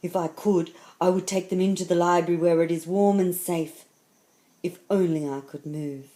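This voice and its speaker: A woman's voice: fairly low pitch, fairly even intonation, moderate pace, warm tone, lightly acted. Storybook stone lion, male, wistful and tender-hearted, earnest delivery, played by a woman reader. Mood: anguished pity. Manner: earnest, yearning. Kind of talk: storybook character voice.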